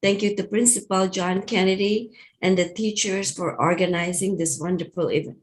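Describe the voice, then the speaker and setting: A woman's voice: mid-range voice, fairly even intonation, normal speed, low-quality recording. A woman school board trustee sharing good news in a meeting, friendly and slightly hesitant, with small restarts. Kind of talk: giving a report in a meeting.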